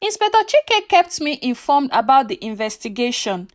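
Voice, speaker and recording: A woman with a Nigerian accent narrating a first-person folktale in an expressive, deliberate, emotive way. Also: high-pitched, no room sound. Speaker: storyteller.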